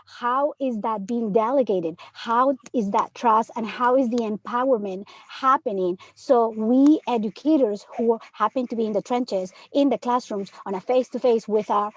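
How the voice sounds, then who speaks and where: A woman's voice: fairly high pitch, moderate pace, hardly any pauses, rough recording. A woman from Peru, a third grade teacher, speaking earnestly on a panel discussion and often asking "right?". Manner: earnest, emphatic, many rhetorical "right?".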